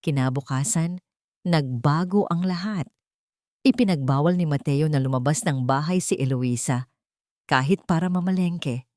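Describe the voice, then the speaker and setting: A woman's voice: deep voice, normal speed, darkish tone, no room sound. Dramatic woman storyteller, dry close sound. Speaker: narrator. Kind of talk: dramatic scripted story narration.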